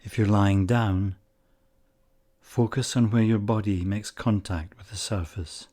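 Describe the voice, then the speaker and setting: Slow, mellow-voiced man guiding meditation. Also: on the low side. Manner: calm, measured, short phrases with long pauses. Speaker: meditation guide. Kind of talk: guided meditation narration.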